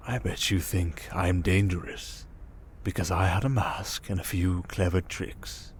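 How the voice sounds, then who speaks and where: A man playing a smug, manipulative criminal mastermind in an ASMR roleplay, calm and condescending, coaxing with endearments and soft breathy murmurs. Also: sits low, a little slow, a little hiss or hum. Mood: teasing, probing. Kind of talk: ASMR roleplay monologue, dramatic.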